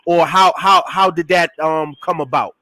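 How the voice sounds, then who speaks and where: A man's voice: a little high, fairly even intonation, moderate pace, pushed and bright, no echo. A man hosting a casual interview, talking conversationally and often checking in with "you know what I'm saying". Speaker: host interviewing a guest.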